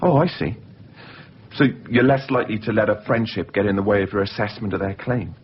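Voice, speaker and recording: A man's voice: lowish voice, brisk, breathy edge. An actor playing an insinuating man who casually needles and probes, in naturalistic radio drama style. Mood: chatty, probing. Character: Jon, insinuating, film-obsessed man steering every conversation. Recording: muffled, noisy off-air radio recording.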